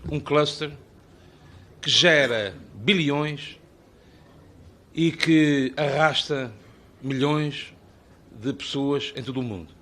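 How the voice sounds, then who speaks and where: A man's voice: a little high, a bit bright. A middle-aged man speaks with an Azorean Portuguese accent. He delivers a formal, ceremonial address in a slightly raised voice, stacking phrase after phrase in rhetorical lists. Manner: ornate, rhetorical, long listing phrases. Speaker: invited speaker at a parliamentary hearing.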